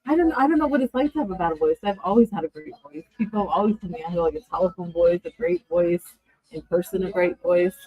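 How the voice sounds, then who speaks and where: A woman's voice: fairly low pitch, fast, husky, dry recording, dull audio. A woman co-hosting a casual livestream podcast, teasing and giggly. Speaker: podcast co-host.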